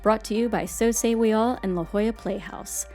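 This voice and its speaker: A woman's voice: a little high, fairly even intonation, normal speed, darkish tone, faint music behind. A woman hosting a horror show in a scripted, tongue-in-cheek, teasing way. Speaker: host.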